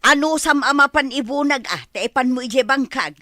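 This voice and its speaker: A woman's voice: high-pitched, moderate pace, sharp tone, no echo, clean studio sound. An actress with an Ilocano accent playing a scolding, nosy village woman in a radio comedy drama. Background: music.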